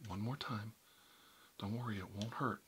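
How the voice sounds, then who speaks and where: A man speaking softly and reassuringly, often in a whisper, as a caregiver in a medical roleplay. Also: on the low side, steady tone, moderate pace, noisy background.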